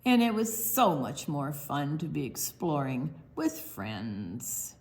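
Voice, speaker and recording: A woman reading a picture book aloud to young children, animated, pausing to explain things simply and ask questions. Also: sits low, a little hiss or hum. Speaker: children's story time reader.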